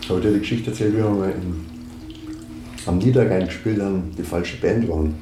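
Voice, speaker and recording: An actor as an easygoing male drummer with a mellow voice. He chats quickly in a radio play, in an echoey room. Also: sits low, lightly acted. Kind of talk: naturalistic radio drama dialogue. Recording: echoey room, some background noise.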